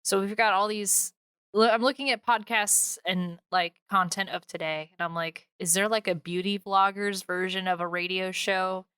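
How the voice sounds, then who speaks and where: A woman's voice: mid-range voice, fairly even intonation, normal speed, a bit bright, clean tone, no echo, studio quality. A woman co-hosting a podcast in casual, playful and informal banter. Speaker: podcast co-host.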